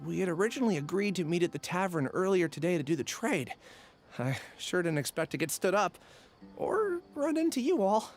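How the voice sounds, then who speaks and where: A man's voice: high voice, big pitch swings, brisk. An actor playing a nervous, cowardly male researcher in a video game, stammering and flustered. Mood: anxious, explaining. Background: faint music.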